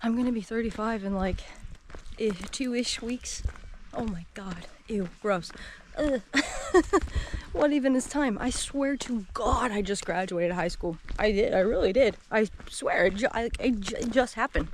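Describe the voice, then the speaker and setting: A woman's voice: a little high, measured pace. A woman in her thirties chatting to her camera on a wooded trail, rambling and laughing often. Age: 30s. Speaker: solo hiker vlogger. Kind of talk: casual walking vlog chat. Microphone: action camera mic carried while walking. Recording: outdoor background noise.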